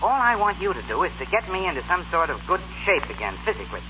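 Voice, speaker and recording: High-pitched, swooping tipsy gentleman. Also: very animated, fast talker. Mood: friendly, confiding. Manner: muddled, hesitant, formal politeness. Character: tipsy upper-class young man, blustering yet courteous. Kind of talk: 1930s radio comedy acting. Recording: scratchy, hissy 1930s radio broadcast, dull.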